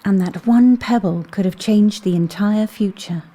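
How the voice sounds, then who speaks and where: A woman playing a calm, intuitive time agent in an audio drama, speaking with composure. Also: medium pitch, a little slow, natural voice, low-quality recording. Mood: grave.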